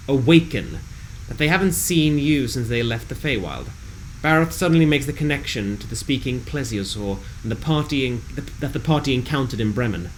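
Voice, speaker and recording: Male storyteller for a tabletop recap: medium pitch, dramatic and measured, slight background hum. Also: low-quality recording. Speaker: narrator reading a tabletop game recap. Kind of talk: dramatic scripted recap narration.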